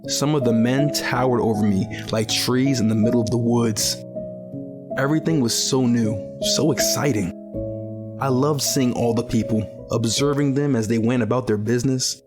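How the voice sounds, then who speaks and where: Jaded, low-voiced young male survivor played by a man. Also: moderate pace, over music, natural voice. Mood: reflective. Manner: weary, skeptical. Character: jaded young man, cautious post-apocalyptic survivor and narrator. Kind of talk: audio drama narration and dialogue.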